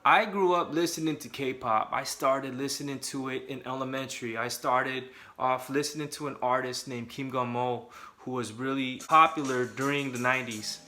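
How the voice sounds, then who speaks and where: A man's voice: mid-range voice, steady tone, normal speed, few pauses, bright, forceful tone. A young adult man from California, talking casually and candidly to camera with joking asides. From Southern California. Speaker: vlogger.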